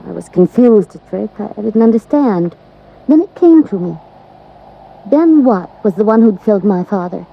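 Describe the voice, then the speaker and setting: A woman's voice: medium pitch, lively intonation, normal speed, gravelly, slightly rough. An actress playing an earnest, anxious young American woman, loyal and pleading, in a 1950s radio drama. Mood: troubled. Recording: muffled, hissy vintage radio recording.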